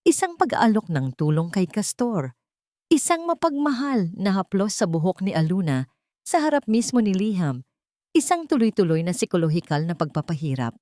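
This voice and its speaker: A woman narrating a scripted drama story in an expressive, suspenseful way. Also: mid-range voice, normal speed, no room sound, studio quality.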